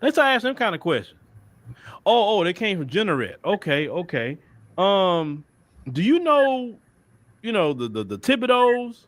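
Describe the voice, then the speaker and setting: High-pitched man with a Texas Southern accent. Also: very animated, measured pace, no echo. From Port Arthur, Texas. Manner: emphatic, repeats phrases, colloquial. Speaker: commentator. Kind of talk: animated opinion monologue, acting out mock dialogue.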